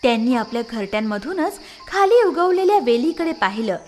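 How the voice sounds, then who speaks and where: A woman's voice: sits high, sing-song, a little fast, a little mellow, a little hiss or hum. A woman narrating scripted moral stories for children as a storyteller.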